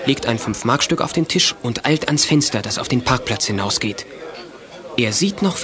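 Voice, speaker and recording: A man's voice: mid-range voice, natural voice. A man narrating a youth radio play in a scripted storytelling style.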